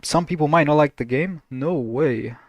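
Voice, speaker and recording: A man's voice: fairly high pitch, normal speed, dry recording. Laughing, teasing male streamer. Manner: laughs often, teasing, slangy. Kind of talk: casual reaction stream commentary.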